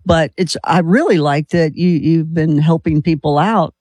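A woman's voice: low-pitched, normal speed, a little mellow, husky, no room sound. An American woman hosting a casual late-night radio interview, chatty and teasing with her guest. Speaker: radio talk show host.

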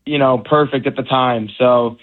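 Relaxed male actor on a phone line. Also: mid-range voice, moderate pace. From United States. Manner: relaxed, stumbling, many restarts. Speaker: actor and guest. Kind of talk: casual interview.

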